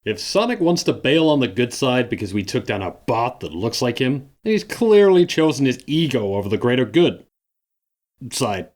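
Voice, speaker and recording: A man's voice: mid-range voice, big pitch swings, normal speed, darkish tone, lightly acted. A man playing a stubborn, boastful cartoon echidna hero in a fan audio drama, pompous and melodramatic.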